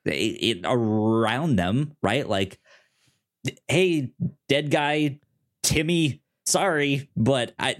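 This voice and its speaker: A man talking casually as a podcast co-host, in a mid-pitched voice. His delivery is slow and halting, often stammering over the first sounds of words before he carries on. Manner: halting, stammering repeats of word starts. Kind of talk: casual film discussion.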